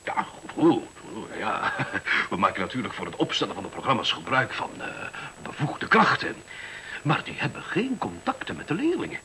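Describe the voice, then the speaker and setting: On a slightly hissy old radio play, a smug technocratic school director. His bright, forceful voice swings widely in pitch as he lectures glibly and condescendingly, interrupting himself. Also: huge pitch swings, moderate pace, heavily acted, poor audio. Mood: patronising, breezy. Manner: condescending, glib, self-interrupting. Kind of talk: radio drama acting, conversational monologue.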